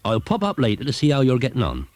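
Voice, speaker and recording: Man doing a hearty, jovial farmer, very expressive. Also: mid-range voice, fast talker, no room sound, pitched above the performer's own voice. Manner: jovial, avuncular. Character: hearty, good-humoured male farmer, grinning and kindly. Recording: noisy 1980s cassette tape transfer.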